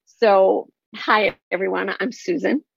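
An older woman from Israel, telling her personal story as an interview guest, phrasing things deliberately and emphatically. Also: mid-range voice, fairly even intonation, gravelly, breathy edge, lo-fi sound. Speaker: guest, spiritual counselor.

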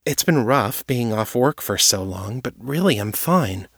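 A man's voice: mid-range voice, lively intonation, unhurried, gravelly, a bit bright, breathy edge, no room sound, studio quality, pitched above the performer's own voice, full character voice. A man voicing a blunt, loyal woman police detective with plain, direct speech. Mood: earnest. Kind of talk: audiobook character voice.

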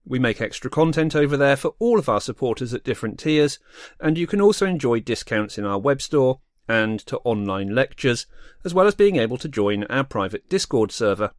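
A man's voice: medium pitch, lively intonation, a little fast, no room sound, studio quality. A man presenting a scripted podcast outro as host.

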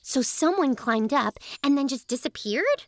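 A woman playing a curious, imaginative young girl in a family audio drama, teasing and excitable. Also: high voice, unhurried, no echo, studio quality. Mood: curious, puzzled.

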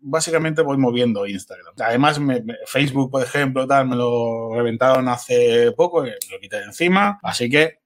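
A man with an accent from Spain talks as a guest on a casual show. His pitch is average. He comes across as wry and easygoing. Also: normal speed, hardly any pauses. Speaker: podcast guest, filmmaker. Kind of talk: casual interview chat.

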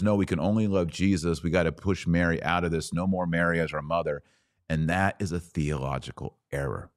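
A man's voice: deep voice, a little mellow, no echo, studio quality. A man hosting a religious podcast, explaining scripture in a didactic, emphatic way, drawing out key words and posing rhetorical questions. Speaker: podcast host.

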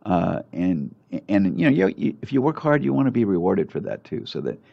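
An older man, a doctor, talking in a casual interview, halting with many fillers and restarts over muffled lo-fi audio. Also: sits low, sing-song, creaky. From United States.

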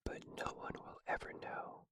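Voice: a little slow, lightly acted. Martha, a fretful young girl, whispered by a narrator. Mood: distressed.

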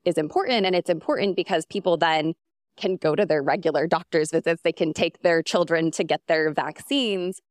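A woman panelist speaking deliberately and explaining step by step, often checking in with "right?". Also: deep voice, no echo, studio quality. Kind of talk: panel discussion answer.

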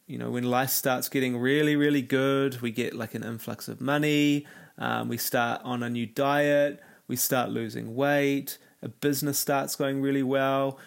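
A man talks through a motivational podcast episode in a conversational, earnest way. His voice is raspy and nasal from a cold, with a gravelly, fried texture at a mid-range pitch. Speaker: podcast host. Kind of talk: solo self-help podcast talk.

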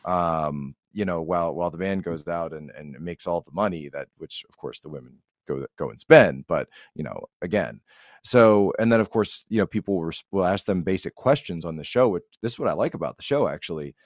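A man in casual, opinionated podcast conversation, telling stories in a rambling, animated way. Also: low voice, a little fast, dry recording, clean studio sound.

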